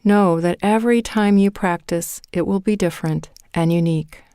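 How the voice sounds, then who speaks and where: A woman leading a guided meditation in a calm, measured way, with deliberate pauses between phrases. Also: on the low side, smooth, no room sound, studio quality. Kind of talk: guided meditation, scripted narration.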